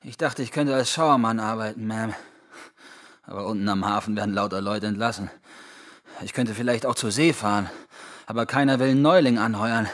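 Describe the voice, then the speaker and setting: An actor as a humble young country man, down on his luck, in a radio play. He speaks low, deferentially and haltingly. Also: a bit bright, natural voice. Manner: hesitant, deferential. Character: meek, hungry young country drifter seeking work. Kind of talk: radio drama acting.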